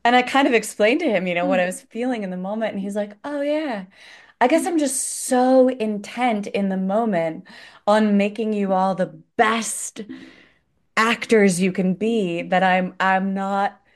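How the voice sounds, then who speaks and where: An animated woman chatting casually about acting, telling stories with lively quoted voices. Her pitch sits in a middle range, and she talks in an easy, conversational way. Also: unhurried. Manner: animated storyteller, acts out quotes. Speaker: actor. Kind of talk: casual chat.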